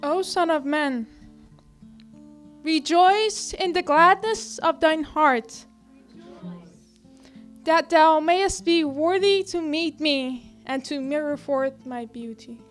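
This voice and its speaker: Female reader, high voice, slow reverent delivery. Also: soft background music. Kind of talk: dramatic scripture reading.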